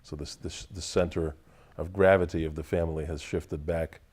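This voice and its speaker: A man whose first language is Russian, answering interview questions in a measured, deliberate way, pausing to find his words. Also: very deep voice, steady tone, a little mellow, slightly rough. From Russian family, raised in the United States. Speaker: interview guest. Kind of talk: thoughtful conversational answers.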